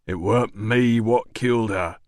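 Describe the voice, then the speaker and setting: A man voicing a surly, rough-spoken working-class male doorman in an audiobook, blunt and slow. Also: lowish voice, no echo, studio quality, natural voice. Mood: aggrieved. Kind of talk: audiobook dialogue.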